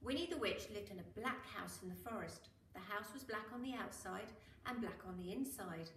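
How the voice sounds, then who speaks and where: Lady doing storytime for kids, really animated, bright voice, echoey room w some noise. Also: mid-range voice. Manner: dramatic, expressive, with drawn-out emphasis and exclamations. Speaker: school teacher reading to children. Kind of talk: animated storybook reading for children. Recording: roomy, echoing, noisy, distant mic.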